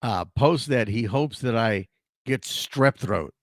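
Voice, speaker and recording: A hoarse man hosting a sports talk show, ranting in a casual, animated way with frequent restarts. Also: medium pitch, takes it slowly, no room sound, studio quality.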